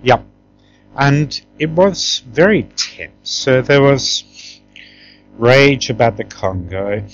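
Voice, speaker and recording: A middle-aged man reminisces as an interview guest in an emphatic, conversational way, often tagging his points with a questioning "yeah?". He talks at an unhurried pace, and the recording is dull and lo-fi. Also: medium pitch. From Tanzania.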